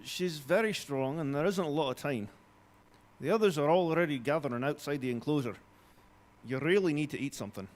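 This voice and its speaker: A man acts a brisk, chummy gentleman assistant in a live audio drama. His voice sits a little high, and he talks in a hearty, clipped old-chap manner. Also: lightly acted. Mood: urgent, insistent.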